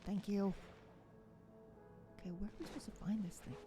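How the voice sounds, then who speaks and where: A woman's voice: mid-range voice, steady tone, moderate pace. A woman streamer giving casual commentary as she plays, chatty and muttering to herself while she works through puzzles. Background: game music.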